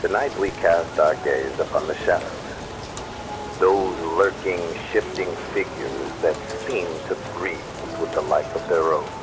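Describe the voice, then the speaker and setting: A man playing an ominous, poetic late-night radio host in an ASMR roleplay, with theatrical, measured delivery. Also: low voice, rough recording. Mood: ominous, brooding. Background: loud music and rain.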